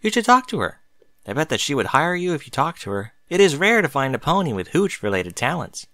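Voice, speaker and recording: A man's voice: fairly high pitch, big pitch swings, fairly quick, a bit bright, no room sound, clean studio sound. Man voicing a shy, lilting pegasus mare. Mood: friendly, helpful. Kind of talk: fan fiction storybook character voice.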